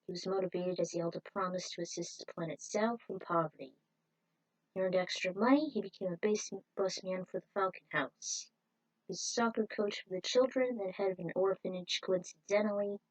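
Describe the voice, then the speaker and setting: A woman's voice: fairly low pitch, a little mellow, no echo, rough recording. A woman reading a fan fiction story aloud in an informal way, breaking off for her own opinionated asides.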